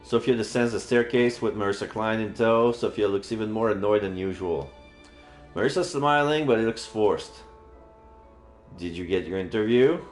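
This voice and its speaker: Low-voiced man narrating in a roomy space. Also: normal speed. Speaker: streamer reading aloud. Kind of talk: narration of a visual novel, acting out lines. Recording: roomy sound, faint background music.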